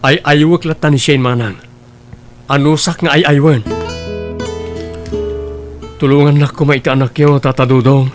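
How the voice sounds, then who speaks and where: A man's voice: fairly high pitch, steady tone, normal speed, pauses often, over music, natural voice. A man playing an earnest, pleading young suitor in a radio drama, a reformed womanizer insisting he is sincere. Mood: earnest, explaining.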